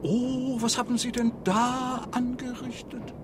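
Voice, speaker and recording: An actor playing a fussy, officious train conductor in a radio play, admonishing in a formal manner. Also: male voice, very high voice, level tone, unhurried, over music. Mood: reproachful. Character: officious, fussy male train conductor, bossy yet courteous. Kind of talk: literary radio play acting.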